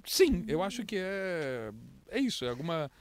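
A Brazilian man, an international correspondent, who speaks with a mixed accent and tells stories with lively swings in pitch. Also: moderate pace. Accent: mixed Brazilian Portuguese. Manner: animated storyteller, lively pitch jumps. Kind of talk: casual interview chat.

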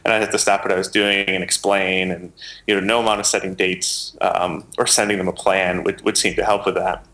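Low, bright-voiced man explaining. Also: little pitch movement, fairly quick, rough recording. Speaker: interview guest, software maker. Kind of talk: conversational explanation.

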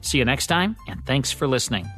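A man's voice: medium pitch, lively intonation, a little fast, a bit bright. A man with an American accent reading a scripted outro as host of a podcast. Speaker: radio show host and producer. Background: music.

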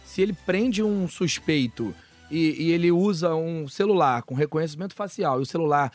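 Relaxed man, slightly high voice, podcast co-host, faint music. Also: moderate pace, dry recording. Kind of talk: casual tech podcast chat.

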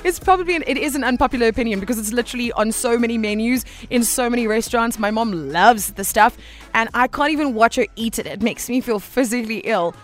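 A woman's voice: a little high, quick pace, soft background music. A woman hosting a radio call-in show, chatty and affectionate with callers. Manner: warm, chatty, calls listeners baby. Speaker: radio host.

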